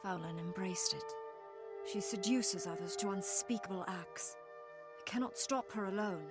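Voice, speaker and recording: A woman playing a grave, composed leader of an ancient plant folk in a video game cutscene, earnest and measured. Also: mid-range voice, close to an everyday voice. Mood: solemn, earnest. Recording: loud music behind.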